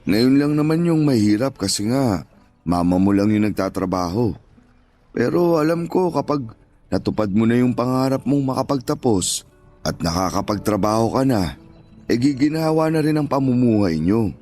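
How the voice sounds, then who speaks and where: A man playing a kind, humble older Filipino street vendor and father, speaking gently and earnestly in a radio drama. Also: medium pitch, expressive, normal speed, lightly acted. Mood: earnest, reassuring. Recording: music bed under the voice.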